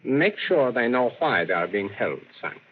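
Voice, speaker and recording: An actor playing a suave, menacing general who holds captives, speaking formally with smooth irony in an old-time radio drama. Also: male voice, mid-range voice, big pitch swings, moderate pace. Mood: coolly menacing. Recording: muffled vintage radio recording.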